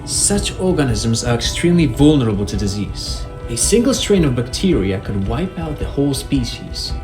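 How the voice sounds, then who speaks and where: A man's voice: medium pitch, big pitch swings, moderate pace, music in the background, close to an everyday voice. An actor playing an erudite, eager policeman who loves explaining things, in a precise lecturing manner for a video game.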